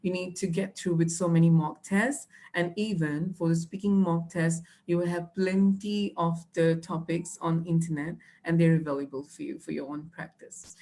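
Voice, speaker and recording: A woman's voice: fairly low pitch, steady tone, moderate pace, hardly any pauses, dark tone. A young woman, teaching exam tips patiently with many fillers and restarts. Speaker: IELTS trainer. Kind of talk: live online teaching.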